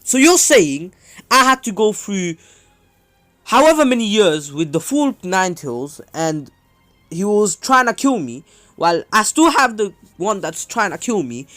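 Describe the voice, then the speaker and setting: A man voicing a stunned, indignant mother in a story, loud and exasperated. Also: high voice, very animated, unhurried, hardly any pauses, soft background music, voice pushed up, heavily acted.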